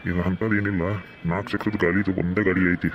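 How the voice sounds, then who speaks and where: Muffled, fast-talking actor as an eerie old villager. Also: male voice, level tone, lightly acted. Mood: ominous, matter-of-fact. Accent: North Karnataka Kannada. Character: eerie old village man, morbid and fatalistic. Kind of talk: amateur cartoon voice acting. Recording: muffled low-fidelity track with background noise.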